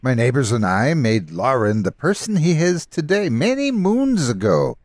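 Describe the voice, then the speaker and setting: An actor playing a jolly, friendly village man in an audio storybook, hearty and theatrical, with a husky voice. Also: medium pitch, wildly swooping pitch, moderate pace, darkish tone, dry recording, studio quality. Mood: earnest, grave. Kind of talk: audio storybook character acting. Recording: music behind.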